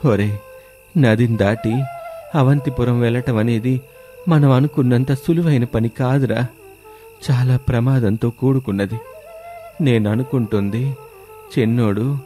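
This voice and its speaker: A man's voice: mid-range voice, measured pace, pauses often, mellow, soft background music, close to an everyday voice. A man playing an ailing, cautious eighty-year-old village father who admonishes like an elder in a storybook tale. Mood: admonishing.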